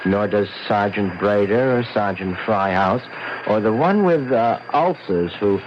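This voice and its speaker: A man's voice: fairly low pitch, animated, a little slow, noisy background. An actor playing a meek, aggrieved man in a radio drama, speaking in a hesitant, pedantic and plaintive way. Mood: fussy, insistent. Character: fussy, meek, aggrieved male artist and chronic complainer. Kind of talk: old-time radio drama acting. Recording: dull vintage radio recording with music.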